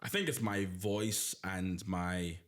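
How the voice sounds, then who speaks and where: A man chats on a podcast in a somewhat low voice. He teases his guest, laughs a lot and drops to murmured asides. He sounds relaxed and playful. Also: slow. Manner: teasing, laughs often, drops to quiet asides. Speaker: podcast host answering questions. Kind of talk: casual podcast chat.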